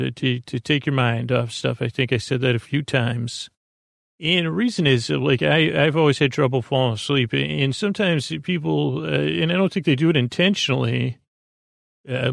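Gravelly man rambling a bedtime monologue. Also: medium pitch, no echo, clean studio sound. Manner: hesitant, halting, self-interrupting with stammered restarts and tangents. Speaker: podcast host. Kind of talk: rambling, meandering bedtime monologue to help listeners sleep.

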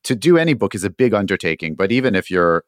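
A man's voice: on the low side, big pitch swings, fast, clear, resonant tone, no echo, studio quality. A man hosting a casual interview, putting rambling questions that restart and circle before they land. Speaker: interviewer, podcast host.

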